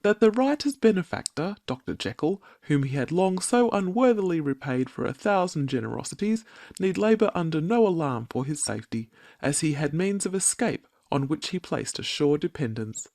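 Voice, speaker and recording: A sinister gentleman villain, voiced by a woman reading a letter in a Victorian audiobook drama. The voice is gravelly and swings widely in pitch, recorded close and dry. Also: animated, moderate pace, no room sound. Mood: sinister, formal. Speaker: audiobook cast reader.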